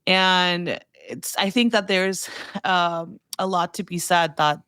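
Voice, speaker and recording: A woman's voice: on the low side, fairly even intonation, slow, a bit bright, clear, resonant tone, dry recording, studio quality. A woman giving casual conversational commentary as a podcast guest, rambling informally and peppering her sentences with "like". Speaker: podcast guest, food trends newsletter writer.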